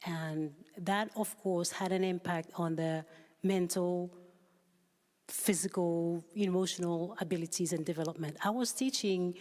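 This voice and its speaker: A woman's voice: fairly low pitch, fairly even intonation, unhurried, a little mellow. A woman from Haiti giving an unscripted personal account on a panel, searching for words as she goes, in a reverberant hall. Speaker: panel speaker. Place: large hall at a public forum.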